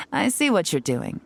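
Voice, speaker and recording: A woman playing a cool, shrewd young intelligence agent in a video game, speaking in a measured, knowing, composed way. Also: a little high, vocal fry, slight background noise, heavily acted. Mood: amused, wry. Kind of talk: video game voice acting, story exposition.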